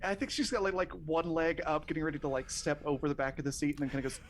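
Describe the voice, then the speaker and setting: A man's voice: a little high, fast talker. A man playing a tabletop roleplaying game, moving between casual narration and in-character talk in a hesitant, thinking-aloud way.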